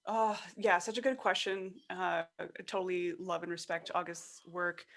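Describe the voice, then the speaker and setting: Woman, 30s, podcast guest; upbeat, conversational, animated quoting. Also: fairly low pitch, moderate pace. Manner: enthusiastic, laughs often, acts out quotes. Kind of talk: casual conversational interview.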